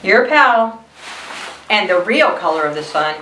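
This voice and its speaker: Woman playing a smug, drawling-slow storybook crayon. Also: mid-range voice, lively intonation, pushed and bright, a little hiss or hum. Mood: smug, dismissive. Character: smug, feuding orange crayon, slyly competitive. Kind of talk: children's storybook read-aloud. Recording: roomy echo, distant mic.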